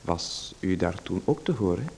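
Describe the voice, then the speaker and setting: An actor playing a nervous, overly polite playwright in a radio play, apologetic and stammering. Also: male voice, sits low, lively intonation, normal speed, gravelly, darkish tone, husky, heavily acted.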